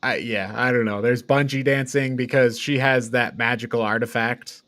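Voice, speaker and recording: A man's voice: mid-range voice, steady tone, moderate pace, fairly bright tone, dry recording. A man co-hosting a podcast, loosely recapping and reviewing in casual, conversational talk. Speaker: podcast co-host. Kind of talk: casual movie review chat.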